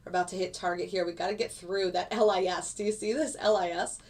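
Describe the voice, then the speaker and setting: A woman's voice: on the low side, steady tone, quick pace, a little mellow. Woman giving casual live trading commentary. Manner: casual and explanatory, walking viewers through a chart. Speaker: futures day trader and trading teacher.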